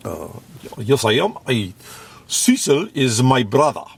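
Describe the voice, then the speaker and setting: A man voicing a calm, polite male African lion with a Zimbabwean accent, speaking slowly and formally. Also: mid-range voice, vocal fry, full character voice. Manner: slow, formal phrasing.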